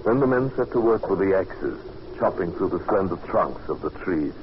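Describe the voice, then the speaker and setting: An actor playing a grave, resolute adventurer haunted by what he recounts, deliberate and dramatic, in an old radio horror play. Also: deep voice, expressive. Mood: grave, brooding. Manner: deliberate, dramatic, halting when moved. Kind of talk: old-time radio narration and acting. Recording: dull, noisy old radio recording.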